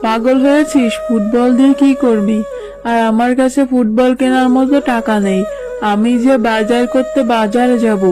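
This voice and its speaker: Casting: exasperated cartoon village mum a woman acting, fairly high voice, scolding her child, music behind. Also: steady tone, normal speed, lightly acted, poor audio. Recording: background music under voice.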